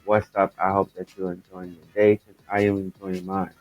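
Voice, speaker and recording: A man hosting his own podcast, his voice raspy and stuffed up, chatting loosely and rambling as he talks straight to his listeners about the news. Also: deep voice, flat delivery, normal speed, darkish tone, lo-fi sound. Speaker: podcast host. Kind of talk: casual commentary on news stories.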